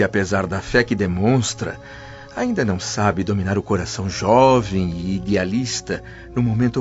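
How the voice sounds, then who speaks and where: An actor as a deep-voiced, solemn Roman father. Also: natural voice. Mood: earnest, worried. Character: devout, tender, anxious Roman freedman father. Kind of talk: radio drama acting. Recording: muffled lo-fi audio with music behind.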